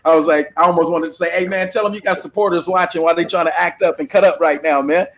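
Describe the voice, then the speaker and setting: A man's voice: fairly high pitch, steady tone, quick pace, crowd noise. A man hosting a casual livestream panel discussion, talking bluntly and with plenty of profanity over a desktop microphone. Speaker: panel host.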